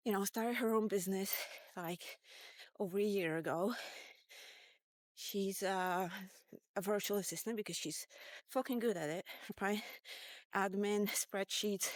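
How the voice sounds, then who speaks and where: A woman in her fifties telling a personal story in a casual, rambling vlog style, halting and informal as she thinks aloud. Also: mid-range voice, no room sound. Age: 50s. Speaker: vlogger. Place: walk-and-talk recording.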